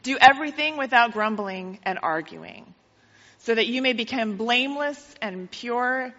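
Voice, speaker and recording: A woman's voice: fairly high pitch, expressive, measured pace, sharp tone. A woman preaching a sermon in a church, joking and teasing the congregation and laughing often. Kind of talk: sermon with playful jokes. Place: church sanctuary. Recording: lo-fi hall recording with long reverb.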